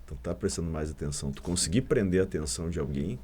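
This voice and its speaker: A man's voice: deep voice, lively intonation, very fast, dark tone, slightly rough. A man, a podcast guest, speaking earnestly and with emphasis. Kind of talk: podcast discussion.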